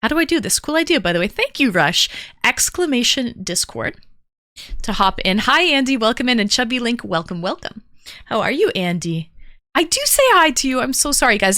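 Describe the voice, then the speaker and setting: Chatty upbeat woman, bright and close. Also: a little high, very animated, moderate pace, dry recording. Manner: talkative, calls herself a yapper. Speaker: streamer. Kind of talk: casual livestream chat greeting viewers.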